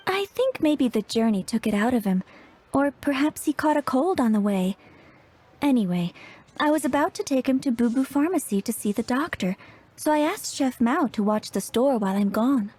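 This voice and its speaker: A woman's voice: sits high, animated, normal speed, slightly rough. A woman playing a polite, gracious young shopkeeper in a video game, with courteous, apologetic phrasing. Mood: embarrassed, worried. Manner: polite, gentle wording, apologetic. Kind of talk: English video game voice acting.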